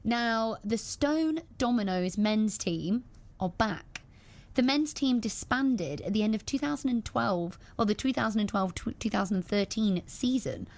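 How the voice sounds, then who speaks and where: A woman's voice: medium pitch, lively intonation, unhurried. A woman presenting a local radio sports interview, encouraging and enthusiastic as she talks with her guests.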